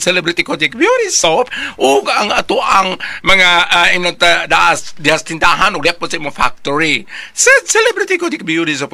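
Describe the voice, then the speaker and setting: A male radio host with a Cebuano accent and a high, very bright, forceful voice. He swings excitedly through ad-lib plugs and greetings in exclamatory bursts, in a very lively delivery. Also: no echo. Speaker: radio host and product endorser.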